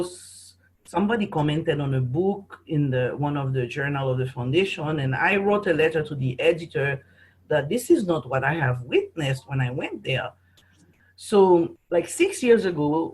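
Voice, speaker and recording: A middle-aged woman from Haiti speaks as a podcast guest. She tells her stories in an animated, chatty way. She often restarts her sentences. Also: deep voice. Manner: animated storyteller, frequent restarts, laughs while telling. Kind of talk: conversational storytelling interview.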